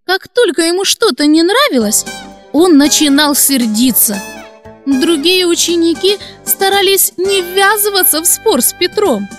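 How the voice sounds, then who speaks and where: Woman as a very high-voiced little girl storyteller. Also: animated, a little slow, faint music behind. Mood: storytelling. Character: gentle little girl puppet host telling Bible stories. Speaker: host and storyteller. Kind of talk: expressive children's storytelling.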